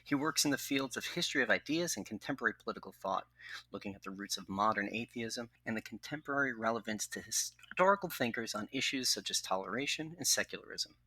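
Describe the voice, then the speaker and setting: A man co-hosting a podcast, moving from a formal read introduction to friendly chat. His voice is very bright and a little husky. The recording is close and dry. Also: mid-range voice, animated, quick pace, no room sound, rough recording. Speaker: podcast co-host. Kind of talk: reading a formal guest introduction, then casual chat.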